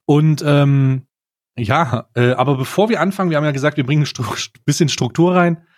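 This is a man with a voice a little higher than most men's. He hosts a comedy podcast and chats casually, with a jokey, slangy and informal way of talking. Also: moderate pace. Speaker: podcast host.

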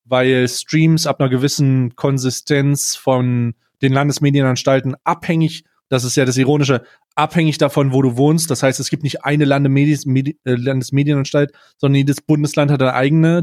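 A man hosting a casual comedic podcast, talking in a halting, informal way with bits of English slang. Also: mid-range voice, darkish tone, no room sound, clean studio sound. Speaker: podcast host.

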